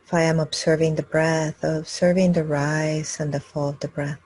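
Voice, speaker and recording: Husky-voiced woman leading a calm guided meditation. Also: low-pitched, no room sound, low-quality recording. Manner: calm, unhurried, with long pauses between phrases. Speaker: meditation teacher leading a live group session. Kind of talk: guided meditation, slow and gentle instruction.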